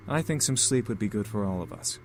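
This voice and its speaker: A man's voice: lowish voice, lively intonation, brisk, a little hiss or hum, natural voice. A man voicing a composed, protective male incubus in a visual novel game, formal and polite. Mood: calm, polite.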